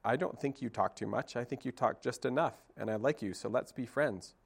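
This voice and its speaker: A man's voice: medium pitch, fairly quick, vocal fry, breathy edge. A man voicing a small, curious and polite young gosling boy in a storybook reading. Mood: earnest. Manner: earnest and polite.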